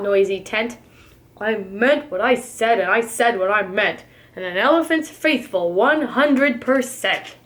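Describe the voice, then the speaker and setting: A woman reading a storybook aloud like a preschool teacher, expressive, with emphatic, rhythmic delivery. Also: on the high side.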